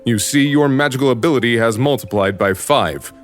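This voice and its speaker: A man playing a calm government inspector in an anime parody. He delivers exposition formally and deadpan, at a middling male pitch, with music underneath. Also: fairly quick, lightly acted. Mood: dry, matter-of-fact. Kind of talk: scripted anime parody dub.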